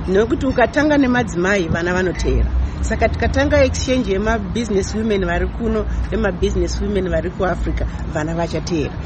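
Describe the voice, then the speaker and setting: Woman, emphatic, repeats for stress, outdoor street noise, passing cars. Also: medium pitch. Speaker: ambassador being interviewed. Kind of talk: interview, mixing Shona and English. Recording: noisy outdoor recording by traffic.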